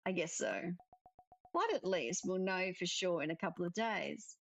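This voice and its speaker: A woman's voice: lowish voice, animated, normal speed, vocal fry, fairly bright tone, faint music behind. A woman reading visual novel dialogue aloud expressively, with casual commentary of her own. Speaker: let's-player narrator.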